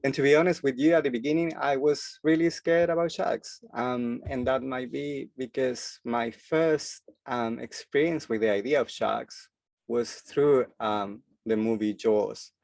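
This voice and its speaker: A slow-speaking man with a gravelly edge to his voice. He gives a forum presentation and often pauses on filler sounds as he finds his words. The sound is dry and close. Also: mid-range voice, fairly even intonation, darkish tone, dry recording. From Chile. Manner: hesitant, many filler sounds. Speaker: freediving instructor and guest speaker.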